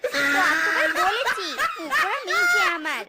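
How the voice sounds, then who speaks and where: Shrill nagging cartoon fox wife. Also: female voice, very animated, slow, over loud music, full character voice. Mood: scolding, exasperated. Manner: scolding, plaintive. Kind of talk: animated cartoon dialogue.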